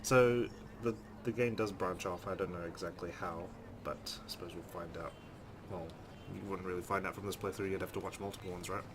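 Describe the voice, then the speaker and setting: A man's voice: low-pitched, steady tone, a little fast, background noise. A man hosting a let's play, reading story text dramatically and adding casual commentary.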